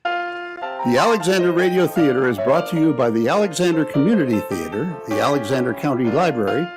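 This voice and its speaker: A man's voice: fairly high pitch, sing-song, fairly quick, music in the background, poor audio. A man narrating as an old-time radio announcer, with scripted, dramatic and emphatic delivery.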